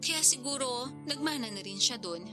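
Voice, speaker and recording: Chatty woman, highish voice over music. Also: animated, normal speed. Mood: playful, friendly. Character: modest, chatty single mother in her thirties. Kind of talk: radio drama dialogue. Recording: clear music bed behind dialogue.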